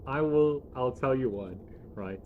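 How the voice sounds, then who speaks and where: A South African man narrating a tabletop game as game master, in a halting, conversational way. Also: mid-range voice, phone-quality sound. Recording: noisy room recording.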